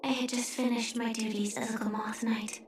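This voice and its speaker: A woman voicing a grieving but resolute young knight in a video game, solemn, restrained and softly breathy. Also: a little high, level tone, normal speed, close to an everyday voice. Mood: solemn, reflective. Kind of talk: dramatic video game voice acting. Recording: roomy echo, music underneath. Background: music.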